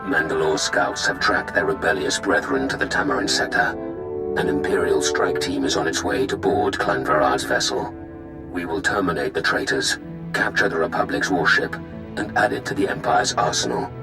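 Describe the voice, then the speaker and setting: An actor playing a menacing Sith Lord in a video game, with a cold, formal, deliberate delivery. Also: male voice, extremely low pitch, loud music behind the voice. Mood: cold, threatening. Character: menacing male Sith Lord reporting coldly to his superior. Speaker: villain.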